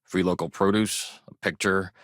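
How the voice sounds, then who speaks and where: A man's voice: sits low, steady tone, normal speed, a bit bright, no room sound, clean studio sound. An actor playing a protective, wary traditionalist farmer and family man in a space colony game, stern and guarded with folksy politeness. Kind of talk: video game character dialogue.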